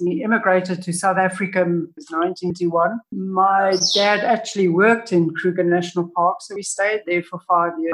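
A woman's voice: on the low side, poor audio. An older woman from Tanzania, a podcast guest telling rambling, enthusiastic stories about wildlife sightings. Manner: enthusiastic, rambling, laughs often. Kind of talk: casual interview storytelling.

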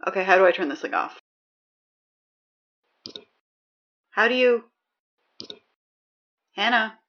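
A woman's voice: medium pitch, moderate pace, close to an everyday voice. Dull-sounding, reluctant female school announcer played by a woman. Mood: flustered, exasperated. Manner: awkward, deadpan, hesitant, exasperated asides. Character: reluctant, flustered high school announcer, weary and sardonic, female. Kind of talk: audio drama school morning announcements.

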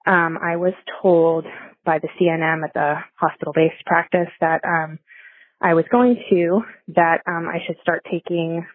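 A woman's voice: lowish voice, fairly even intonation, a little slow, no room sound. A woman in her thirties calling in with a question, hesitant and full of ums. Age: 30s. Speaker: caller. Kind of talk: recorded voicemail question. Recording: phone line.

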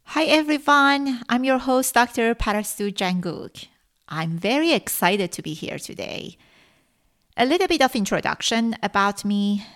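A middle-aged woman, a native Farsi speaker from Iran, hosting her own podcast and telling her story earnestly with frequent pauses. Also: on the high side, animated, fairly bright tone. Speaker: podcast host. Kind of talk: solo podcast monologue.

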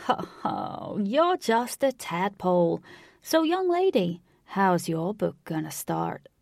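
A woman puts on a big old Southern American man from a storybook, talking in a slow, loud cowboy drawl. He is folksy and avuncular, jovially doling out encouragement. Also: medium pitch, big pitch swings, vocal fry, no room sound, clean studio sound, full character voice. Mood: jovial, encouraging.

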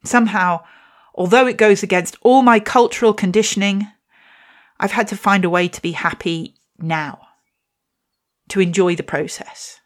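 A woman's voice: mid-range voice, fairly even intonation, normal speed, pauses often. A woman, telling a reflective personal story and reading her poem with wry, self-deprecating humour. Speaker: podcast host and poet.

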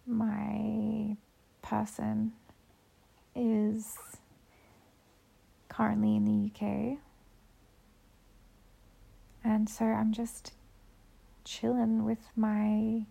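A woman's voice: fairly high pitch, creaky, dark tone. A young woman chatting casually and upbeat to her viewers, a little sick with a cold. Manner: chatty, rambling, upbeat self-talk. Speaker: vlogger.

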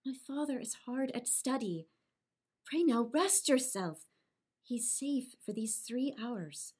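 A gentle, smitten young island maiden, played by a woman on the Shakespearean stage. She speaks in a fairly high voice at a very slow, careful pace, earnest and pleading in every line. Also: expressive, breathy edge, exaggerated voice. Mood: concerned, pleading. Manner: earnest, pleading, measured verse delivery. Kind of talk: theatrical Shakespearean stage acting.

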